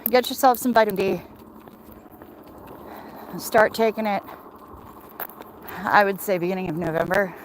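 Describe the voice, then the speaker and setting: A woman's voice: mid-range voice, measured pace, long pauses, slight background noise, rough recording. An American woman chatting casually and in a rambling way with livestream viewers, answering comments as she walks outdoors. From Michigan, United States. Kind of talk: casual livestream chat while walking.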